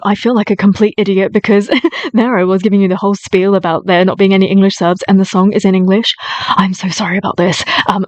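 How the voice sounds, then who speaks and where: A woman's voice: mid-range voice, darkish tone, dry recording. A woman hosting her own reaction channel, talking in a chatty, eager and often apologetic way. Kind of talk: casual reaction commentary.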